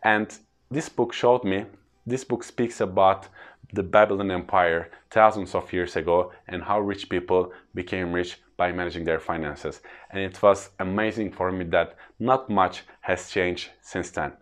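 A man's voice: low voice, fairly even intonation, unhurried. A man in his thirties with a Turkish accent, explaining personal finance in an earnest, plain way to camera. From Turkey. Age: 30s. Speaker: freelance trainer.

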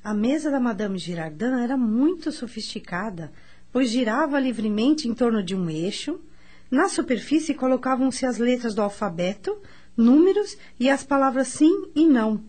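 A woman's voice: a little high, expressive, moderate pace, a little mellow. An actress playing a curious, well-bred young lady in a radio soap opera, speaking politely and formally.

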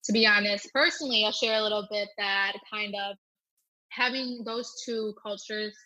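Bright-voiced young woman, earnest. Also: medium pitch, steady tone, moderate pace, poor audio. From United States. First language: Thai. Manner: earnest, often ending with "right?". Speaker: guest. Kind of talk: reflective personal interview answer.

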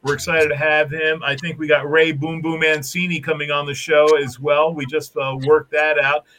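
A man's voice: fairly high pitch, little pitch movement, normal speed, fairly bright tone. A man hosting a talk show, effusive and folksy, thanking guests and viewers loosely. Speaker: show host.

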